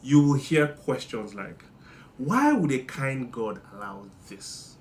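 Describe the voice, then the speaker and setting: A man from Nigeria with a Nigerian accent, giving a joking monologue that swings between loud bursts and low muttering. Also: sing-song, takes it slowly, slight background noise. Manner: playful, teasing, jumps between loud and muttered. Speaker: monologue host. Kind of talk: comedic monologue.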